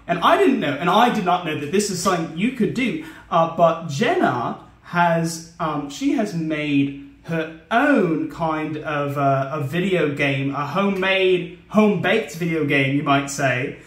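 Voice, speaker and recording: A man's voice: a little high, a bit bright. A man hosting a comedic let's play in a rambling, naive, obtuse way. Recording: roomy echo, faint music behind.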